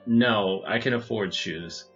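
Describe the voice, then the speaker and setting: A man's voice: lowish voice, takes it slowly, slightly rough, dull audio, heavily acted. A man playing a terse, wisecracking loner detective at a bar in a hardboiled comedy audio drama. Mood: deadpan, guarded. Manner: deadpan, terse. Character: jaded, wisecracking male loner detective drinking at speakeasy. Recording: music bed under the voice.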